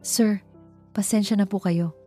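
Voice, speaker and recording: A woman's voice: mid-range voice, steady tone, measured pace, warm tone, soft background music, pitched above the performer's own voice, close to an everyday voice. A woman voicing a smug male cabin chief with a Filipino accent, polite with fake concern, in a narrated story.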